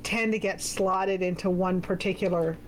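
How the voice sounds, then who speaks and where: A woman's voice: on the low side, fairly even intonation, moderate pace, a little hiss or hum, low-quality recording. A woman chatting casually, telling stories in a hesitant way with many fillers. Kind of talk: casual chat.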